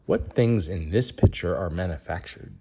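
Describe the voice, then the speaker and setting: A man's voice: low-pitched, moderate pace, vocal fry, dark tone, slight background noise. A man reading a rhyming children's picture book aloud in a sing-song way, putting questions to young listeners.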